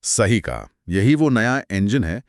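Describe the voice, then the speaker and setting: A man's voice: lowish voice, very animated, slow pace, no echo, studio quality. A man co-hosting a conversational explainer, speaking in an emphatic, explanatory way with English terms mixed in. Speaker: co-host explaining business results.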